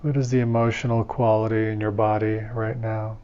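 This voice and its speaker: Male meditation teacher, calm and instructive, level monotone delivery, muffled lo-fi sound. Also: fairly low pitch, clear, resonant tone. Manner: slow, soothing, gently instructive. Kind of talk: guided meditation.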